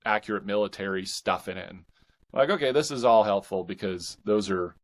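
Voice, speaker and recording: A man's voice: on the low side, lively intonation, brisk. A man talking casually as an author guest in a podcast interview.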